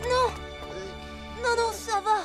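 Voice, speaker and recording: Un petit garçon impatient. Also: female voice, very high voice, steady tone, takes it slowly. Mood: eager. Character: excited little boy, eager and impatient. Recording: cartoon soundtrack with loud music.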